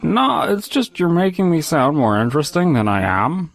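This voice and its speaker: A man's voice: on the high side, very animated, normal speed, gravelly. A man playing an awkward, self-effacing drifter in an audio comedy skit, speaking hesitantly and modestly. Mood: sheepish. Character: awkward, self-effacing drifter who cannot hold a job.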